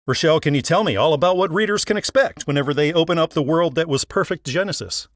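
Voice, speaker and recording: A man with a fairly high voice works as an interview host, delivering scripted introductions and questions. The recording is dry, with very little room sound. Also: fast talker, no echo.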